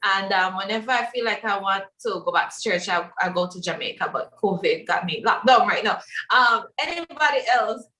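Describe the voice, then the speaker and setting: A woman's voice: medium pitch, moderate pace, sharp tone, reverberant, far from the mic. A woman from Belize moderating a panel discussion in a chatty manner with many fillers.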